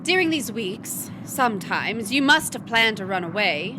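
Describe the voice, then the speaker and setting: An actress playing an anxious, intense woman in love, earnest and halting, in an old-fashioned melodramatic stage style. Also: mid-range voice, sing-song, lightly acted. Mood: anxious, searching. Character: Cora, anxious, intense woman lover, wary and weary. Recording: noisy live online stage recording.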